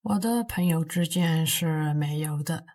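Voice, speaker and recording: Young adult woman, low pitch, husky voice, language student, casual lesson conversation. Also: unhurried, a little mellow.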